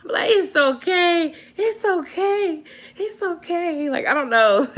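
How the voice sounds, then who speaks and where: A woman's voice: very high voice, slow pace. A woman in her late twenties chatting casually with viewers from home, answering comments and laughing a lot. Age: 20s. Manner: animated, laughing often, slangy. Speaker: vlogger chatting with live viewers. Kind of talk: casual live chat, reading comments.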